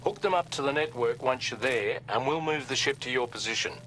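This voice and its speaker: A man's voice: mid-range voice, brisk, lightly acted. An actor playing a grave, reflective man who carries old grief, speaking formally and precisely in a cinematic video game. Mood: businesslike. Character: grave, reflective seafaring man grieving his lost son. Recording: loud music under the voice.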